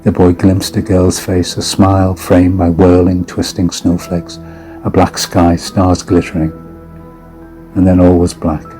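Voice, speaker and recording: A man narrating a horror story in a measured, grave, dramatic audiobook style. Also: deep voice, completely flat delivery, warm tone, soft background music, low-quality recording.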